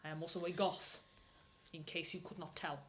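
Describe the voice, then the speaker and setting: Woman putting on a bright, melodramatic goth teenage girl. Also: lowish voice, steady tone, moderate pace. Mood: declamatory, self-absorbed. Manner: declamatory, reads misspellings and punctuation literally. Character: melodramatic, defiant seventeen-year-old goth vampire girl narrator. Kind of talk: dramatic reading of bad fan fiction.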